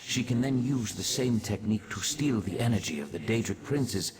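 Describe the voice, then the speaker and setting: An actor playing a calm, calculating ancient sage in a fantasy video game, speaking in a measured, detached, analytical way. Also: male voice, on the low side, natural voice. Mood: detached, pondering.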